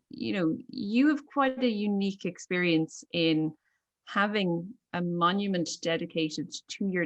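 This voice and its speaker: A woman's voice: deep voice, muffled recording. A woman hosting a conversational interview, putting her questions in a tentative, roundabout way. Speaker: interviewer and host.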